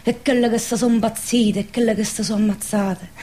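A woman's voice: a little high, steady tone, moderate pace, a little mellow, natural voice. An actress playing a traumatised young village woman in a radio drama, ashamed and bitter, plaintive, with a southern Lazio dialect. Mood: despairing. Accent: southern Lazio Italian dialect.

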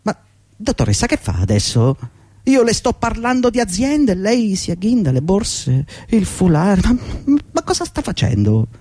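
A man's voice: high-pitched, wildly swooping pitch, unhurried, dark tone, exaggerated voice. An actor playing a middle-aged, servile and agitated long-time employee pleading with his boss in a fretful, rambling radio drama performance. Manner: fretful, deferential, rambling and insistent. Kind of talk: theatrical radio drama acting.

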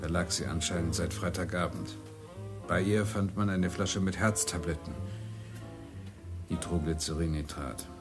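An actor in a radio crime drama playing a nervous, evasive doctor with a halting, stammering delivery. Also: male voice, low voice, steady tone, music in the background. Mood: subdued. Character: nervous, evasive male doctor hiding guilt.

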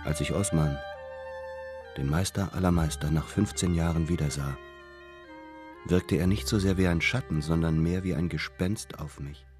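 An actor playing a brooding, lovelorn man who tells his story in a German radio play, measured and earnest. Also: deep voice, over music, close to an everyday voice. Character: brooding, lovelorn returning man, former painter's apprentice. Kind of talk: literary first-person narration in German radio play.

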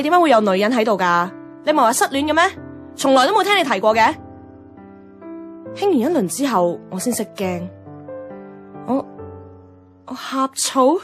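Wistful, animated young Hong Kong woman played by an actress. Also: mid-range voice, close to an everyday voice. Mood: agitated, jealous. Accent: Hong Kong Cantonese. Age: young adult. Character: jealous, wistful young woman working in radio. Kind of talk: Cantonese radio drama dialogue and inner monologue.

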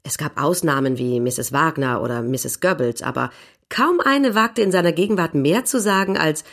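An upper-class lady with a notably deep female voice. She talks in a knowing, conversational way, like a society confidante in a radio play. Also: moderate pace. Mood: confiding. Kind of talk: acted radio drama monologue and dialogue.

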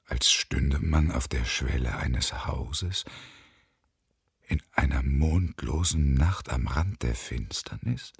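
An actor playing a bitter, desolate unemployed engineer around fifty in a radio play, with a husky, brooding delivery. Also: male voice, a bass voice, slow, no echo, clean studio sound, heavily acted. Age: middle-aged. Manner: brooding, often whispery delivery. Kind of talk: German radio play acting, monologue.

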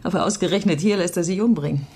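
A woman's voice: fairly low pitch, racing, warm tone, husky. An actress playing a bitter, blunt, tough countrywoman in a radio drama, speaking in a terse, resolute way. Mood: bitter, weary. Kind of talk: naturalistic radio drama dialogue.

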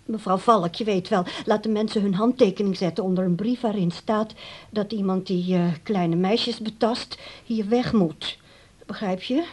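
An actress playing an anxious, dutiful grown daughter in a radio play, speaking carefully and hesitantly. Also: female voice, medium pitch, normal speed, close to an everyday voice. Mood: uneasy, careful.

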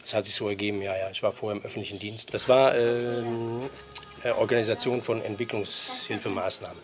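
A man's voice: on the low side, fairly even intonation, brisk. A middle-aged man, a shop owner, in casual conversation inside his small shop.

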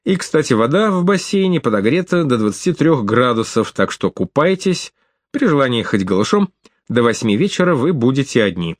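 A man's voice: mid-range voice, very animated, normal speed, dry recording, studio quality, close to an everyday voice. A man reading the lines of a polite, gallant man in his thirties in an audiobook. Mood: courteous. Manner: polite, smooth, smiling. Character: gallant man of thirty-five, courteous host.

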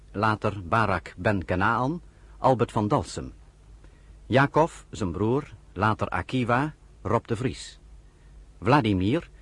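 A man formally reading out the cast credits of a radio drama in a measured manner. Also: medium pitch, slightly rough, dry recording. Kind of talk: formal scripted credits announcement.

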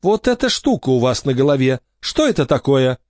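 A blunt, inquisitive little girl with a very high voice, as a male reader plays her. She talks bluntly and with curiosity. The recording is dry. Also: very animated, moderate pace, darkish tone, dry recording, lightly acted. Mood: curious.

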